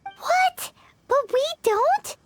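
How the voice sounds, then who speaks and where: A woman voicing a tiny, excitable female fairy companion in a video game, with a squeaky, childlike voice. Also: very slow, a little hiss or hum, full character voice. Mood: baffled. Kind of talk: English video game voice acting.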